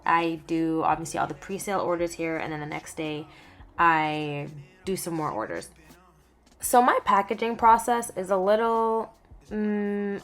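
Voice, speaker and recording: A young woman who runs a small skincare business, chatting candidly in a vlog voiceover, her voice swinging lively in pitch and loudness. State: just over laryngitis. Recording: voiceover with faint background music.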